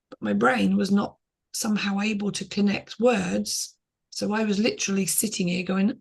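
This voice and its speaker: Woman podcast co-host, middle-aged, husky and gravelly, earnest reflective chat. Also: mid-range voice. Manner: reflective, earnest, talks in short restarting phrases. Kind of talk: casual podcast conversation.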